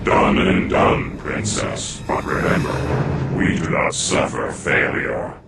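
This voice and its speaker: A man voicing a menacing, ageless undead lord in a fantasy game cutscene, gravelly, grave and imperious. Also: rumbling low, wildly swooping pitch, slow, loud music behind the voice, exaggerated voice. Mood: threatening. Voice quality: gravelly, harsh. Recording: echoing, noisy ambience.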